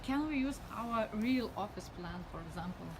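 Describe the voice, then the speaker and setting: A woman's voice: fairly high pitch, lively intonation, moderate pace. A woman in the audience asking casual, brief questions during a presentation. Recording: noisy room recording.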